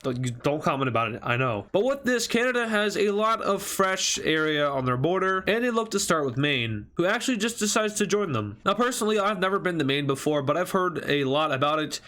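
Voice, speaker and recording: A man's voice: high-pitched, lively intonation, fairly quick, hardly any pauses, sharp tone. A man sounding stuffy from allergies, giving casual, conversational commentary as a video host, with informal self-deprecating asides. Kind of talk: casual commentary over a map scenario video.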